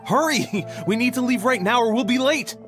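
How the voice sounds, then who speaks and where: A man playing an earnest, flustered young knight in a video game, speaking with hurried urgency. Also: very high voice, animated, a bit bright, faint music behind. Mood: flustered, urgent.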